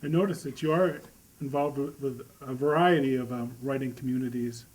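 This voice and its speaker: A man's voice: mid-range voice, brisk, darkish tone, poor audio. A man interviewing a guest, putting his questions in a conversational style.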